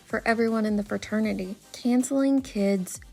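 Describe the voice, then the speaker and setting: A woman delivers scripted online commentary in an earnest, measured manner. Her medium-pitched voice is noticeably creaky. The tone stays mellow and dark, without any sharp edge. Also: soft background music, low-quality recording. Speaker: true crime YouTube commentator. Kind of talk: scripted commentary, reading and summarising an article.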